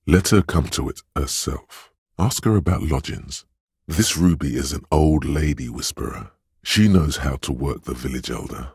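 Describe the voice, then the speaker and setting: A man voicing an insinuating inner voice in a video game, narrating in an intimate, coaxing, knowing and measured way, with a very deep, husky tone. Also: no echo, studio quality, full character voice. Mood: manipulative, coaxing. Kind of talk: scripted second-person game narration.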